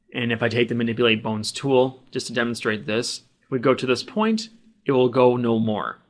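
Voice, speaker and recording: A man with a mid-pitched voice narrates a software tutorial as the instructor. He talks plainly and practically, explaining each action step by step as he performs it. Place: voice-over of a screen recording.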